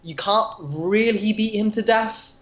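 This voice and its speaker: A man's voice: sits high, a little slow, a bit bright. A man co-hosting a podcast, casually discussing comic books in a tentative, self-deprecating way. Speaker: podcast co-host. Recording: dull lo-fi audio.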